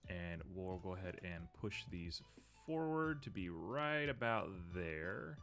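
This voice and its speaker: Male streamer, lower register, a bit sniffly, relaxed think-aloud commentary full of ums. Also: slow, faint music behind. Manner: thinks aloud, frequent ums, muttering at the software. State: a little sniffly. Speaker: streamer building a 3D model. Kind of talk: casual live-stream commentary while working.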